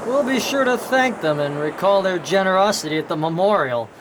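Pirate captain, high male voice, mocking, swaggering, mock-courteous, radio drama, noisy rumbling recording. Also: fairly quick. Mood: coldly mocking. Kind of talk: audio drama acting. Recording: noisy audio with steady rumble.

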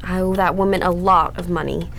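A woman's voice: lowish voice, fairly even intonation, a little fast, vocal fry, fairly bright tone. Woman acting fluttery Southern belle, faint noise. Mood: anxious. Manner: fluttery, folksy, hesitant stammer. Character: frightened, sugary Southern married woman in debt to gambling. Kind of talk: old-time radio drama acting.

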